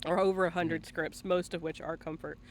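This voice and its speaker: A man's voice: fairly high pitch, little pitch movement, a little fast. A man working as a livestream interviewer, asking questions in a casual, conversational way.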